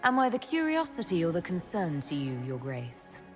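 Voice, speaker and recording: Wry, low-voiced noblewoman leader. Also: huge pitch swings, moderate pace, soft background music. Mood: guarded. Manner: dry, polite, guarded. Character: composed, wry noblewoman leader, wary and quick-witted. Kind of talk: video game character acting.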